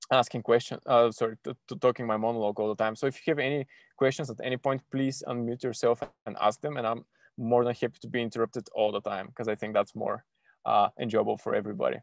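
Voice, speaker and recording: A young man teaches astrophysics to children over a video call, stumbling through restarts and fillers as he goes. His voice sits at a middle pitch and sounds dry and close, with no room around it. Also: vocal fry, no room sound. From Bulgaria. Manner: halting, many restarts and fillers, eager. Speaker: astrophysics PhD student giving an online talk. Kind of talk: informal online science lesson for kids.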